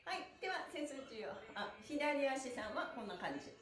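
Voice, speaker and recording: A woman's voice: high voice, lively intonation, normal speed. A middle-aged woman leading a live-streamed stretching lesson, giving cheerful, encouraging, polite instructions and counted chants. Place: small bare-walled room indoors. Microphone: camera a few feet away. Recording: roomy echo from camera distance.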